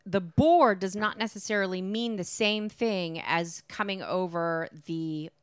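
A woman co-hosting and producing a podcast, talking in a brisk, teasing casual chat. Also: mid-range voice, smooth, no echo.

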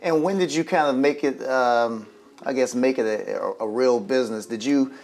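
Male show host, steady mid voice. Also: medium pitch, moderate pace, vocal fry. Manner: friendly, many short acknowledgments. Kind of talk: interview host.

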